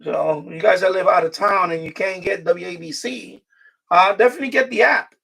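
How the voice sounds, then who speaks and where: High-pitched gravelly man chatting, off mic. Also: fairly quick, sharp tone, recorded from a distance, low-quality recording. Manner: rambling, repeats himself. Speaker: live stream host, radio hobbyist. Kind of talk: casual live stream chat. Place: New York City.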